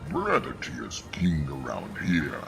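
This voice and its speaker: An actor playing a gruff, sardonic, salty space station hauler with a gravelly, mocking, folksy delivery in a noir video game. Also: male voice, extremely low pitch, wildly swooping pitch, a little slow, music in the background, heavily acted. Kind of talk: noir point-and-click game acting. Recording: clear ambient noise behind.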